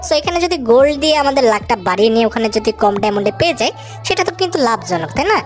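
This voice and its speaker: Very fast-talking Bangladeshi woman gamer over music. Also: on the high side, big pitch swings. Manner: chatty, addresses viewers directly with casual asides. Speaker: gaming video host. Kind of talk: casual gameplay commentary. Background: background music.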